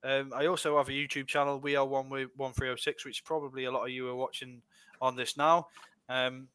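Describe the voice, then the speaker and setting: A man's voice: mid-range voice, flat delivery, a little fast, sharp tone, clean tone, no echo. A young Scottish man hosting a casual streaming podcast, reading out viewer comments and chatting with a laugh in his voice. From Scotland. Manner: chatty, laughs easily, restarts a lot. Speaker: podcast host.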